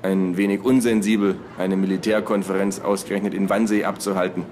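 A man's voice: low voice, flat delivery, brisk, a little mellow, a little hiss or hum, natural voice. Man as a stern, dry mock army captain. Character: grim, pedantic man posing as army captain.

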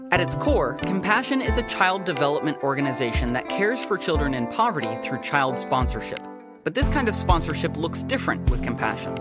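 A man reading a scripted promotional narration in a smooth, even way. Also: a little high. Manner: polished, even promotional read. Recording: narration over loud music.

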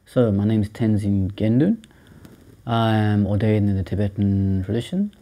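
A man in his thirties, from Sri Lanka and raised in England, explains his path as a monk in an interview. His voice sits low and very dark. He talks in a thoughtful, halting way, full of ums and restarts. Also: fairly even intonation. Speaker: Buddhist monk and teacher. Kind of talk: interview answers. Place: small indoor room by a shrine.

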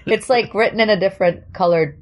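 A woman's voice: medium pitch, fairly quick, slight background noise. A woman from Germany raised in New York, with a light New York accent, telling stories as a podcast guest and laughing often. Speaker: podcast guest, comedian and actor. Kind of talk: casual storytelling chat.

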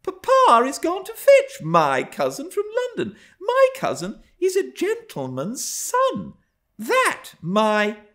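A man's voice: sits high, wildly swooping pitch, measured pace, voice pushed up, heavily acted. A man voicing a spoiled, imperious young gentry girl in an audiobook story, haughty and demanding. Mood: scornful, distressed.

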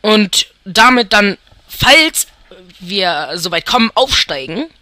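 Slow, bright-voiced young gamer guy. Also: high-pitched, expressive, dry recording. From Germany. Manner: rambling, thinks aloud, chuckles often. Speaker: let's player. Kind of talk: casual gameplay commentary.

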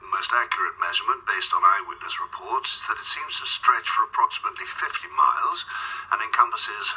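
A man's voice: lowish voice, a little fast, sharp tone, slightly rough, full character voice, poor audio. A man playing a dry, authoritative boss of paranormal agents in an audio drama, droll, clipped and formal. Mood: droll, commanding.

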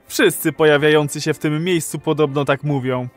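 An actor playing an earnest, rebellious citizen of a dystopian future in a radio play. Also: male voice, a little high, steady tone, fairly quick, soft background music, lightly acted. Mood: insistent. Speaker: actor in an audio drama.

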